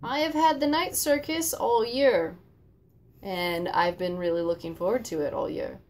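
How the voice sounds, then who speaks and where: A woman's voice: on the high side, steady tone, a little slow. A woman talking casually about books as a co-host of a book channel.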